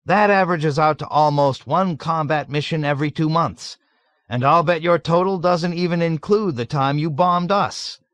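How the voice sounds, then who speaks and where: A man voicing a jovial, nervous middle-aged colonel who gushes loudly and is eager to please. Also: a little high, moderate pace, no room sound, pitched above the performer's own voice, natural voice. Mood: gushing. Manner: loud, gushing, eager to please.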